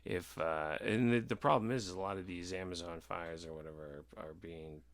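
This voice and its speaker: A podcast host with a low voice talks casually and informally. The delivery is opinionated and emphatic. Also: a little fast.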